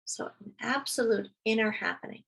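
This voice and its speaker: A woman leading a guided meditation, speaking slowly and soothingly as she gives gentle instructions. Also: on the high side, gravelly, slightly rough, rough recording.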